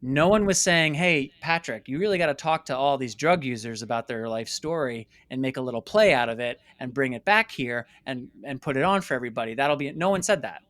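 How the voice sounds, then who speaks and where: A man in his late thirties from New York City, an interview guest who talks in an emphatic, animated storytelling way and voices imagined dialogue. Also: medium pitch, fairly quick, hardly any pauses, no echo. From New York City, United States. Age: late 30s. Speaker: interview guest, filmmaker and company founder.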